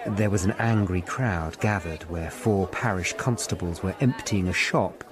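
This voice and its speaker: A man's voice: low-pitched, unhurried, crowd noise. A man acting in a radio drama as a grave Tudor lawyer-investigator who recounts his own tale. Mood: grave narrating. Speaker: narrator and lead actor in radio drama.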